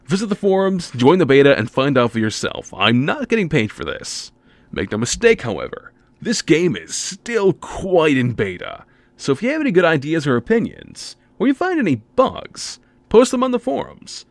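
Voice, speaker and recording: A man's voice: on the high side, wildly swooping pitch, normal speed, no echo. A man reading a scripted game preview narration with theatrical, wry, emphatic phrasing. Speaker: video game reviewer and narrator.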